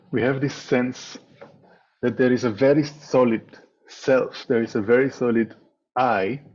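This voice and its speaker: A man leading a guided meditation as a teacher, speaking in a measured way with pauses between short phrases over a slightly dull video call. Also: mid-range voice, a little mellow, husky. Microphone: video call microphone.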